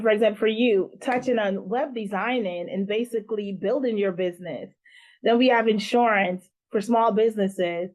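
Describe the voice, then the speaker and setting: A woman from Guyana, a guest founder, talking in an earnest interview manner with pauses and fillers. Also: medium pitch, darkish tone, rough recording. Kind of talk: casual interview talk.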